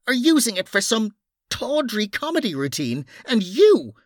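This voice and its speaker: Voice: mid-range voice, wildly swooping pitch, a little slow, dry recording, studio quality, pitched above the performer's own voice. A performer voicing an indignant woman veterinarian, nervous but fiery, with an emphatic, scolding manner in an audiobook.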